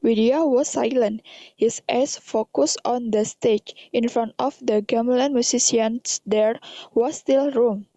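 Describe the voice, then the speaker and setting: A woman's voice: fairly high pitch, fairly even intonation, unhurried, warm tone. A woman with an Indonesian accent reading scripted story narration in careful non-native English. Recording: dull, echoey lo-fi recording.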